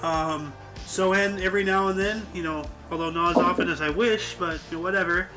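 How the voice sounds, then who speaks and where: A man's voice: sits high, steady tone, brisk, over music, rough recording. A man in his thirties hosting a casual group chat, rambling with many fillers. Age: 30s. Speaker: podcast host.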